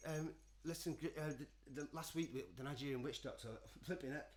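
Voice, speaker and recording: A man's voice: fairly high pitch, fairly quick, noisy background. A man co-hosting a casual live stream, joking and laughing with stammering restarts. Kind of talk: casual banter.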